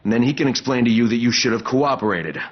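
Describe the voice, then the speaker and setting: An actor playing a gruff, cynical undercover operative with a terse, dry delivery in a cinematic video game. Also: male voice, medium pitch, fast, lightly acted. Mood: cold, threatening. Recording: muffled game capture, clear background noise.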